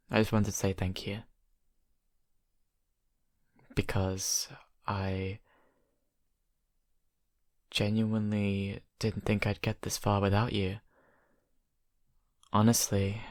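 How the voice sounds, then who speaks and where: A young man acting a shy college vampire who confides haltingly and softly to his best friend in an ASMR roleplay. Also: lowish voice, no echo, clean studio sound. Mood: nervous, grateful. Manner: hesitant, halting, soft-spoken confiding. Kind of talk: ASMR roleplay, intimate improvised monologue.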